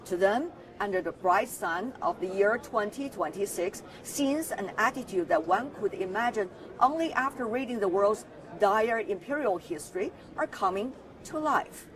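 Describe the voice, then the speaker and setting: A woman's voice: mid-range voice, expressive, normal speed, recorded from a distance, low-quality recording. A woman news host delivering scripted narration in a news report.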